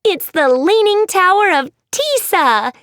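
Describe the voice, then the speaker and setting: Woman doing a zany young girl, high-pitched, squealing, whimsical, lively swoops, dry close sound. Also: slow, dry recording, exaggerated voice. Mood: giddy. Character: zany excitable young girl, playful show-off.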